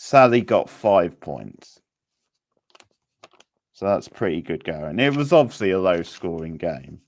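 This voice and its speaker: A man casually hosting a live quiz, reading out questions, options and scores in a halting way. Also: low voice, sing-song, dry recording. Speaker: quiz host.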